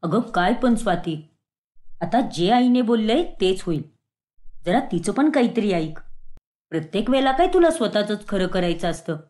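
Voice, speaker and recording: A placating young husband from a cartoon family story, voiced by a woman. He reasons and soothes, with an expressive, varied melody. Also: mid-range voice, normal speed, voice pushed down, lightly acted. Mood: firm. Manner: reasoning, pacifying. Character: placating adult son and husband, young man. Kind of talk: animated moral story dialogue.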